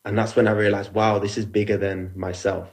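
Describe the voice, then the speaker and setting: Young English footballer, earnest and reflective in interview, lowish register. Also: male voice, flat delivery, fairly quick. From England. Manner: reflective, heartfelt. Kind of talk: interview answers.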